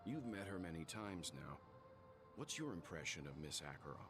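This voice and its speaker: A man's voice: low-pitched, big pitch swings, normal speed. An actor voicing a serious, suspicious, reasoning man in video game dialogue, grave and articulate, over game music. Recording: game music playing under the voice.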